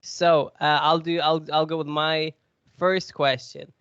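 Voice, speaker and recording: A man's voice: on the high side, flat delivery, unhurried, fairly bright tone, clear, resonant tone, no room sound, lo-fi sound. A man with a cold, sniffly, chatting and joking as he hosts a podcast quiz. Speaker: podcast co-host. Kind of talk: casual podcast chat.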